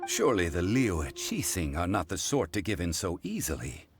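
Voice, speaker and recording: A man playing a composed, erudite gentleman in a video game, speaking formally, thoughtfully and deliberately. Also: deep voice, wildly swooping pitch, music in the background, full character voice. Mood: measured inquiry. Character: composed, erudite gentleman consultant, grave and measured. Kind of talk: video game character acting.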